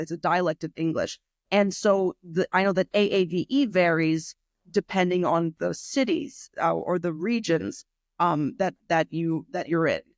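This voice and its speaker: A woman from New York with a New York accent, an English teacher chatting as a guest in a relaxed conversation. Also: on the low side, no room sound, clean studio sound. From New York, USA. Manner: talkative, chatty, self-deprecating asides. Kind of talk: casual conversation.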